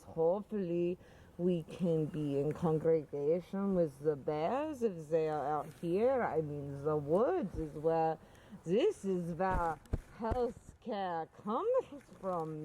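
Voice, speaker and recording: A woman's voice: mid-range voice, dark tone, slight background noise, low-quality recording. A woman playing a Russian carer, deferential yet stubborn, with a rambling, emphatic and formal way of talking in improvised comedy. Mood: evasive, deferential. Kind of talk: improvised comedy role-play.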